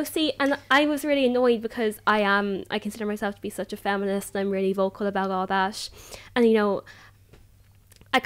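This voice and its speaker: A nineteen-year-old woman with an Irish accent talks in a casual roundtable. She is chatty and conversational, telling personal stories and acting out bits of what she thought or said. Her pitch is average for a woman. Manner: chatty, animated filler "like", quotes herself. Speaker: roundtable participant. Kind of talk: casual personal storytelling in group discussion.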